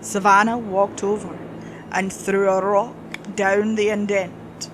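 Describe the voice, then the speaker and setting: A woman's voice: medium pitch, flat delivery, takes it slowly, background noise. A woman reading a scripted horror story as its narrator.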